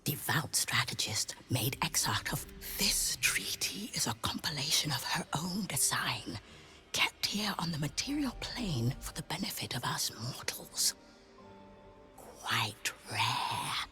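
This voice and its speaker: An actress playing an awed, scholarly book lover in a fantasy game, breathy and hushed, with a reverent, erudite manner. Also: female voice, sits low, sing-song, slow pace, in a whisper, soft background music, full character voice. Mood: reverent wonder. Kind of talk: video game character acting.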